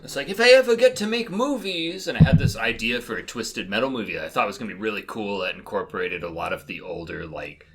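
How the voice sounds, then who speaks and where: A man's voice: lowish voice, animated, quick pace, hardly any pauses, very noisy. A man talking casually and giving opinions as a podcast panelist, rambling and often cutting into his own sentences as he hedges. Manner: rambling, self-interrupting, hedging.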